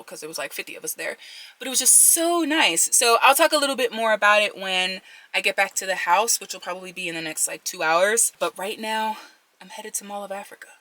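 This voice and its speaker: A woman's voice: mid-range voice, pushed and bright. A woman in her thirties talking casually to camera as a vlogger, chatting to her viewers as folks and y'all. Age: 30s. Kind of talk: casual vlog chat to camera. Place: home kitchen, then a car.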